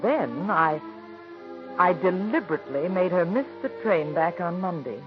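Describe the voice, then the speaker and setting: A woman's voice: lowish voice, animated, moderate pace, lightly acted. An actress playing a married woman who narrates and confesses a petty trick against a star, in 1940s radio drama style. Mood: rueful. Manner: confiding, wry and self-reproaching in narration. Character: married woman, a theater star's friend, rueful and firm. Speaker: narrator and lead character. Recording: dull old radio broadcast with music.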